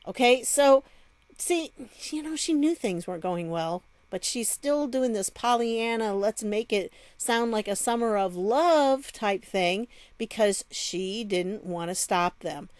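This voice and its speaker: A woman's voice: fairly high pitch, unhurried, no room sound. A woman giving casual, conversational news commentary with a sardonic edge and frequent rhetorical questions. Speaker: vlog host and commentator. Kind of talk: casual news commentary, reading statements aloud.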